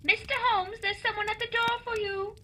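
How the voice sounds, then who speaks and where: A woman's voice: extremely high pitch, flat delivery, moderate pace, background noise. A woman playing a cheeky, sarcastic Victorian London housekeeper in a radio drama.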